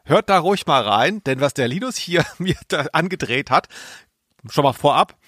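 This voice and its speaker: A man's voice: a little high, animated, normal speed, a bit bright, no room sound. Dry, teasing man, close studio sound. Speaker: podcast co-host. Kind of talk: casual banter.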